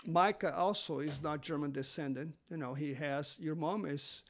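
A man who speaks English as a non-native language, a seminary teacher talking as a guest on a podcast interview, halting with many fillers and restarts. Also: fairly high pitch, slight background noise. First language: not English.

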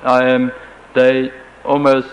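A man with a German accent, German being his first language, answering interview questions in a halting, careful way with frequent restarts, on a dull lo-fi tape with faint hiss. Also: medium pitch, monotone.

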